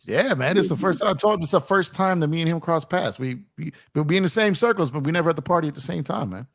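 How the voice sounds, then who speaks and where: Male sports talk host, American with a touch of New York, slightly higher-pitched than average, animated and slangy, very dry sound. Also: fast talker, no echo. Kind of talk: casual sports chat on a livestream.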